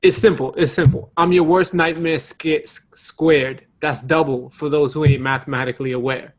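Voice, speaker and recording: A man chatting casually as a podcast co-host, excitable and often repeating his phrases. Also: on the high side, normal speed. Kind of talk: casual comedic group chat.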